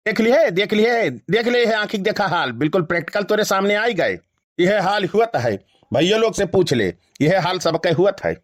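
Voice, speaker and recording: A man acts out an older cartoon villager with a distinctly high voice and an Awadhi accent. He grumbles and teases like a put-upon village comic. The recording is dry, without room sound. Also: lively intonation, normal speed, dry recording. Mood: teasing. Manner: teasing, grumbling. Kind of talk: Awadhi cartoon comedy voice acting.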